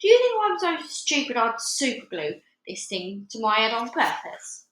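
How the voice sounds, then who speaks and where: A woman voicing a blustering, hot-tempered father who yells loudly. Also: a little high, big pitch swings, normal speed, reverberant, rough recording. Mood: indignant.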